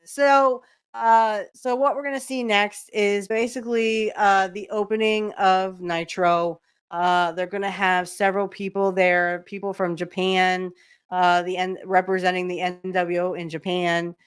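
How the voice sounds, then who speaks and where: Slow, smooth-voiced woman podcast host. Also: medium pitch, level tone. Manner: fond and giggly, with many filler ums. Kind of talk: casual watch-along commentary.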